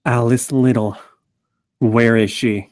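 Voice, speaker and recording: A man's voice: medium pitch, level tone, slow pace, a little mellow, no echo, close to an everyday voice. Guy doing a grim desperate gunman, blunt short questions, darkish voice, dry audio. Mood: insistent. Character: grim armed male interrogator, suspicious and desperate. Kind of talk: script table read acting.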